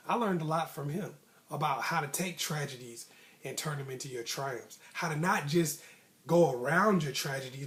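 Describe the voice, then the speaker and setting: Guy w kinda higher voice doing a motivational storytime, slangy, emphatic, repeats stuff to make his point. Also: a bit bright. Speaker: vlogger, storyteller. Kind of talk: casual personal storytelling, motivational.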